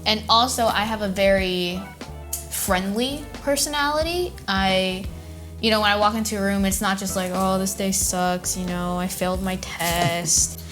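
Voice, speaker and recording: A teenage girl talks at an easy middle pitch, chatty and playful, slipping into quick impressions of classmates. She speaks in a casual, friendly way. Faint music runs under her. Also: a bit bright. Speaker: daughter, co-host. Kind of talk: casual chat.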